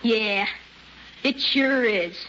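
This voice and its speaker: A woman's voice: on the high side, drawn-out words. A woman playing a brash, curious young boy with blunt, childish talk in an old-time radio drama. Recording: muffled, noisy old radio recording.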